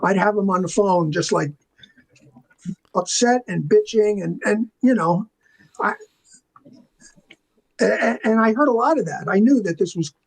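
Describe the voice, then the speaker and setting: Older guy with a pretty high voice, chatty interview storytelling, does the back-and-forth dialogue himself. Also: a little slow, gravelly, long pauses, breathy edge, rough recording. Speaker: interview guest, veteran visual effects artist. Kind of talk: casual anecdotal storytelling in an interview.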